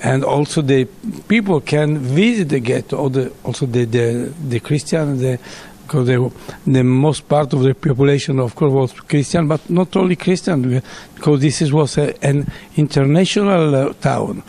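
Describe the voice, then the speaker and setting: A man, an English speaker, answering interview questions in halting English with many pauses and fillers. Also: medium pitch, dark tone.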